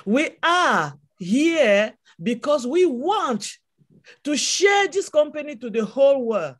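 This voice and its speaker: Slow, passionate Cameroonian woman on a call. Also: high-pitched, very animated. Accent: Cameroonian French. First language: French. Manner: passionate, repetitive preaching cadence. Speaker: webinar speaker. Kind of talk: motivational testimony. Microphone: video call.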